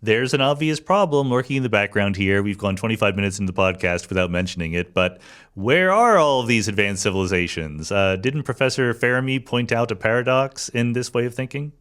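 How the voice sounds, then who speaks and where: Lower-voiced man, probing podcast host. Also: brisk, fairly bright tone, smooth. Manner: inquisitive, probing. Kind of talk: conversational interviewing.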